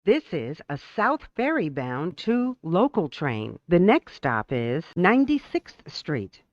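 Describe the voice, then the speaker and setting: Subway announcer, really slow n formal, careful words, kinda muffled lo-fi audio. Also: medium pitch, huge pitch swings, dry recording. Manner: measured, clearly enunciated, formal. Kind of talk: scripted automated transit announcements.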